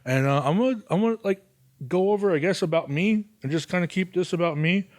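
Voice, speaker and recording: A man's voice: high voice, fast talker. A man in his thirties hosting a casual solo podcast, rambling and swearing with lots of fillers and self-mocking asides. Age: 30s. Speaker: podcast host.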